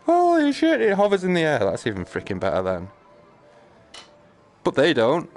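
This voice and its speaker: Guy streaming a game, creaky voice, swings up and down a lot, sweary and messing about. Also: on the high side, normal speed, faint music behind. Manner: excitable, playful, sweary. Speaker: live streamer. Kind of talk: casual gaming commentary.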